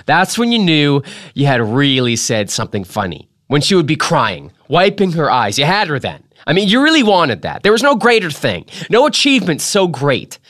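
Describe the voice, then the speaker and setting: A man reading a memoir aloud as a podcast host, in a theatrical, emphatic style with dramatic pauses. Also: on the high side, wildly swooping pitch, a bit bright, dry recording, studio quality. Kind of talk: expressive dramatic literary reading.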